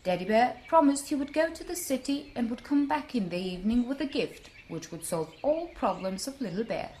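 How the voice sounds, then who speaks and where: A woman storyteller narrating a scripted bedtime tale for children in an expressive manner. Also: on the high side, a little fast, slight background noise.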